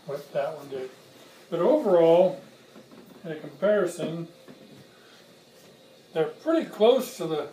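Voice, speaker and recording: A man in his sixties with a fairly high-pitched voice talks through a casual hands-on review. He pauses between short, plain-spoken phrases. He is recorded a little away from the mic in an echoey kitchen, with faint noise. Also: darkish tone. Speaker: hobbyist video reviewer. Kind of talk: casual product comparison, unscripted. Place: home kitchen, indoors. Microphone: camera mic a few feet away. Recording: distant mic, roomy echo, faint noise.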